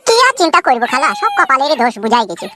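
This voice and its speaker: A woman's voice: high voice, sing-song, racing, very noisy, voice pushed down. A woman voicing a grumpy, bossy young husband in a comedy cartoon, talking down in a scolding way. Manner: scolding, condescending.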